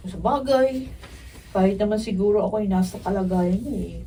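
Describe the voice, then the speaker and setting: An actress playing a concerned female friend, gentle and reflective, in a Filipino radio drama. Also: medium pitch, a little fast, close to an everyday voice. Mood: troubled. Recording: distant mic with faint noise.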